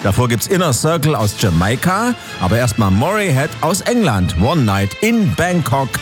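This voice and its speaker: A man's voice: mid-range voice, very animated, normal speed. A middle-aged man from Thuringia presenting on the radio in an upbeat, chatty, self-deprecating way. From Thuringia, Germany. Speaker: radio host. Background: music bed.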